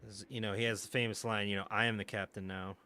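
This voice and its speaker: A man's voice: on the low side, little pitch movement, fast, bright, forceful tone. A man streaming a strategy game, chatting casually with a friend, laughing and swearing often. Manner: laughs a lot, swears, teasing banter. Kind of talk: casual gaming chat.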